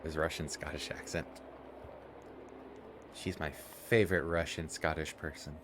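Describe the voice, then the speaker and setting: A man's voice: rumbling low, steady tone, a little slow, background noise. A man co-hosting a game stream, chatting casually and teasing the player with frequent laughs. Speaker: co-host watching the stream. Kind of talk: casual commentary.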